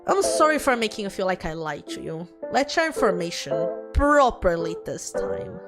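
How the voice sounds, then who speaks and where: A woman's voice: fairly low pitch, wildly swooping pitch, normal speed, voice pushed down. A woman voicing a guarded, intense young dead woman in a visual novel, cool, curt and serious. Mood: apologetic. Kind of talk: visual novel character read aloud. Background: background music.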